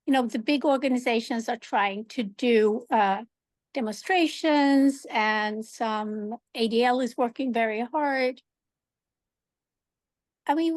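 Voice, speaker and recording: A woman calls in to a video call and asks worried, searching questions. Her voice sits fairly high for a woman. The audio is dry and close. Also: no echo. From Sweden. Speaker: caller.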